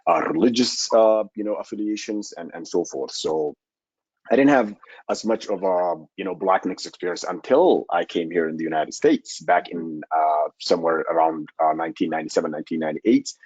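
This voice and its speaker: A man from Somalia, telling his own story on a panel in a halting, measured way over a video call. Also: sits low, a little mellow, slightly rough, dry recording. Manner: halting, measured, searching for words. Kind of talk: personal account on a panel. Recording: dull lo-fi video call audio.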